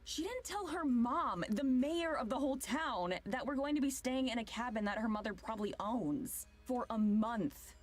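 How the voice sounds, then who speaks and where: Skeptical young female traveler, highish voice, exasperated and sarcastic, video game dialogue with light music behind. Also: expressive, moderate pace, hardly any pauses. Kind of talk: visual novel voice acting.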